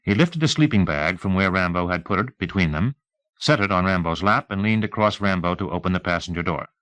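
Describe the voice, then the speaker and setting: A man with a fairly low voice narrates an audiobook novel. His storytelling is measured and clearly articulated, recorded dry with little room sound. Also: lively intonation, a bit bright, no echo, rough recording.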